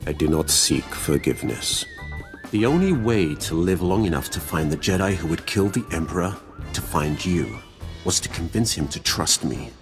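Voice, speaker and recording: An actor playing an ancient, proud Sith lord in a video game, speaking in a formal, solemn and disdainful manner. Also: male voice, low-pitched. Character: ancient, grim, proud Sith lord, three centuries old. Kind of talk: dramatic video game voice acting.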